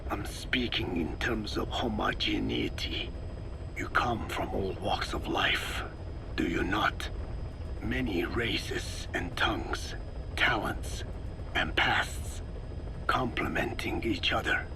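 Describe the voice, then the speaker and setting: An actor playing a very old, wise Navajo scientist in a video game, solemn and lecturing, with a raspy, breathy croak. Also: male voice, on the low side, very animated, whispered, heavily acted. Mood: stern, instructive. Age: older. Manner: slow, deliberate, lecturing. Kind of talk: scripted dramatic game dialogue.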